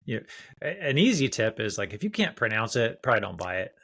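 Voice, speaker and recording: A man speaks as a doctor co-hosting a casual health discussion. His voice is gravelly with vocal fry and sits at an ordinary pitch. The sound is dry, and he talks in an informal, conversational way. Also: big pitch swings, fast, a bit bright, no echo.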